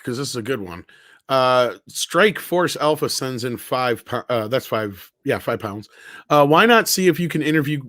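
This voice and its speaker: Male chat-show host, informal rambler, loose casual delivery, mid-range pitch, dry close sound. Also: a bit bright, dry recording.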